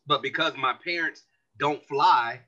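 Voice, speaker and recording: A man, a community organization leader, explaining his views as a guest in a podcast interview, animated and earnest. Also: a little high, measured pace, lo-fi sound.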